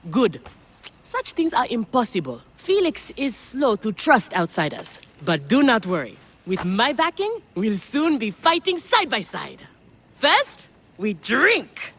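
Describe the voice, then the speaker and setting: A woman playing a boisterous, boastful gladiator and warm old friend in a video game, hearty and exuberant. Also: fairly high pitch, sing-song, slow pace, husky, full character voice. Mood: hearty reassurance. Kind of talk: dramatic video game acting.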